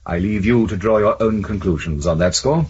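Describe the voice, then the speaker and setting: An actor playing a guarded secret service official in a 1970s radio drama, measured, formal and quietly authoritative. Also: male voice, on the low side, slightly rough. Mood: firm. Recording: dull, noisy old radio recording.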